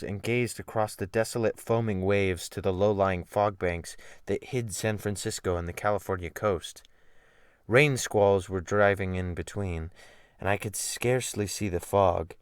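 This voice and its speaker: A man's voice: fairly low pitch, smooth, dry recording. A man giving a dramatic, theatrical reading of literary narration, with strong swells of emphasis, close to the microphone. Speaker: audiobook narrator. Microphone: close USB microphone.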